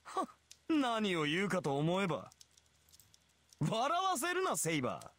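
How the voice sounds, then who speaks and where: A man's voice: sits high, wildly swooping pitch, a little fast, fairly bright tone, dry recording, exaggerated voice. An actor voicing an arrogant, merciless heroic king in a visual novel, with a haughty, sneering and imperious manner. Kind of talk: Japanese visual novel voice acting.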